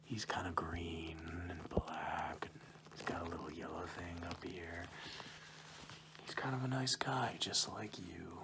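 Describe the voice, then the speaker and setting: A man playing a goofy, menacing kidnapper in an ASMR roleplay, coaxing and mock-reassuring in a breathy, hushed whisper. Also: deep voice, steady tone, measured pace, heavy background noise. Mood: playful menace. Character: goofy menacing male kidnapper soothing his captive to sleep.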